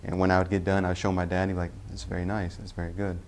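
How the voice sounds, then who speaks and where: Low-voiced man telling his story. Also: completely flat delivery, a little hiss or hum. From Tennessee, US Southern. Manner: halting, self-correcting with many "uh" pauses. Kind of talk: personal testimony.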